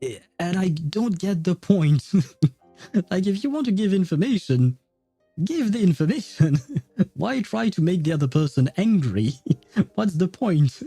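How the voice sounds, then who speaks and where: A man talking casually to his viewers as a game livestreamer, in a halting, chuckly way. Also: high-pitched, lively intonation, warm tone, breathy edge, no room sound. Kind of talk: casual chat with stream viewers. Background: music.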